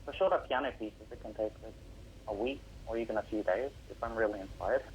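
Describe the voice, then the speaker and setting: Teen guy composer on a radio interview, speaks russian at home, earnest, explains stuff slowly, faint hiss behind. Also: on the low side, muffled recording. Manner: earnest, explains at length with some hesitations. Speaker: young composer guest.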